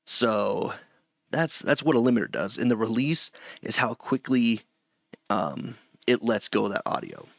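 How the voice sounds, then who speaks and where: A middle-aged man with a low voice gives a loose, chatty screen-share tutorial from a small home room, often restarting his sentences. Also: expressive, a little slow, gravelly, fairly bright tone, no echo, studio quality. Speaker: streamer teaching audio setup.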